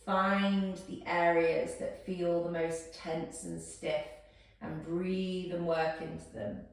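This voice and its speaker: Calm woman cueing stretches, echoey room. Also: fairly low pitch, slow pace, far from the mic. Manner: calm step-by-step cueing, counting down. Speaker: posture instructor. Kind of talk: guided exercise instruction.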